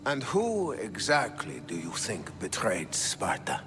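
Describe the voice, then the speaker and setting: A man's voice: lowish voice, huge pitch swings, slow pace, full character voice. A man acting a haughty, scornful Spartan king in a video game, commanding and sardonic, with a declamatory delivery. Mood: stern, challenging. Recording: reverberant stone hall, music underneath.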